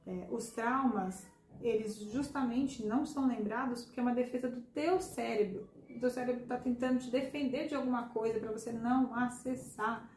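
A hoarse woman with a slightly high voice hosts a casual podcast conversation and speaks earnestly straight to her listeners. The echoey room carries a faint background hiss. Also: normal speed, a little mellow. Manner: earnest, addresses listeners directly. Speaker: podcast host and hypnotherapist.

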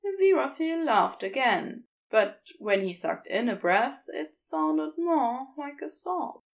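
Woman reading a story, highish voice, lots of melody, unhurried. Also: very animated, creaky. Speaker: narrator. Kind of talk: scripted fiction narration.

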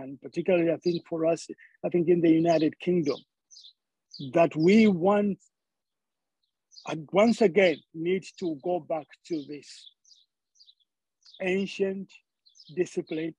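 A man's voice: high voice, a little mellow, slightly rough, no room sound, rough recording. A man from Zambia preaching a sermon, often repeating words as he searches for the next phrase.

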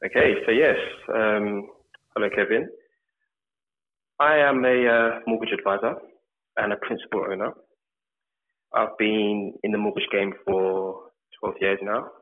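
A man from England with a London accent, a mortgage advisor answering interview questions in a relaxed way, chaining thoughts with lots of fillers. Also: fairly low pitch, a little slow, pauses often. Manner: relaxed, talks in long run-on chains with fillers. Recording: tinny phone-like line.

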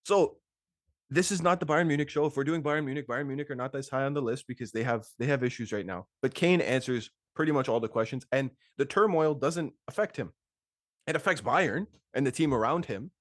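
A man co-hosting a football podcast, rattling off his points at a fast clip. He gets animated and laughs often as he argues his case. His voice sounds dry and close to the mic. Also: medium pitch, no echo. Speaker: podcast co-host. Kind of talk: casual sports chat.

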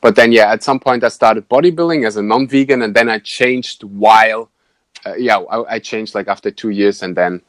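A man's voice: on the low side, moderate pace, no echo. A young man from Germany with a German accent, chatting as a podcast guest and fitness coach, hesitant with many fillers and laughs. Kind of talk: casual interview chat.

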